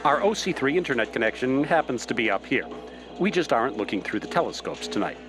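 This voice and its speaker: A man's voice: mid-range voice, big pitch swings, fast talker, music in the background, natural voice. A man playing an affable, slightly hesitant astronomy professor explaining his work in a radio play interview. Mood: amused, reassuring.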